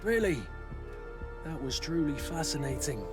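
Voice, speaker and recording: An actor playing a blunt, commanding guard captain with a clipped, direct and sardonic manner in video game dialogue. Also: male voice, a little high, animated, measured pace, music in the background. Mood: dry.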